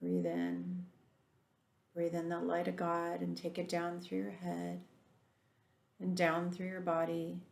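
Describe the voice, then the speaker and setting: A woman leading a guided meditation in a softened, soothing voice, drawing out slow breathing instructions. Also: lowish voice, completely flat delivery, creaky, reverberant.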